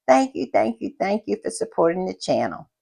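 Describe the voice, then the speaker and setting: A woman hosting her own channel in casual chat, her voice froggy and hoarse, sounding tired and repeating her thank-yous. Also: mid-range voice, sing-song, dark tone. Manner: drawn-out, repetitive thank-yous and southern-style "y'all" asides. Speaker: channel host.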